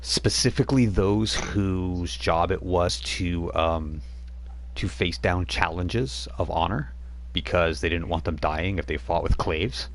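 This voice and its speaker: Very deep-voiced male game master. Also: slow pace. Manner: explanatory, with frequent fillers and restarts. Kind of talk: narrating a tabletop game.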